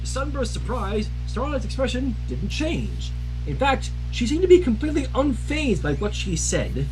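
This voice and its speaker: Very animated fan fiction reader. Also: medium pitch, moderate pace, soft background music, poor audio. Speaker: story reader, livestream host. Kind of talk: animated narration with casual asides.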